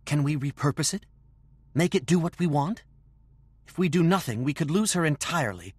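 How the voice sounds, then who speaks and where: A man playing a steady, dutiful gnome-like adventurer in a video game, earnest with a wry streak. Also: on the high side, moderate pace, husky, no room sound. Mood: resolute. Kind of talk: video game cutscene acting.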